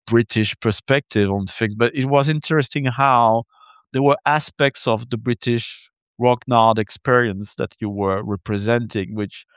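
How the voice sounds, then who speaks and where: A man's voice: medium pitch, steady tone, measured pace, smooth, dry recording. A man from Belgium, a native French speaker with a French Belgian accent, talking as a podcast guest over a video call in a chatty, hesitant way. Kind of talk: interview conversation.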